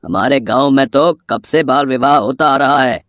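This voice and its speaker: A man playing a greedy, domineering village moneylender in an animated moral story, imperious and threatening. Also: medium pitch, moderate pace, no room sound, clean studio sound, close to an everyday voice. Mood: scheming.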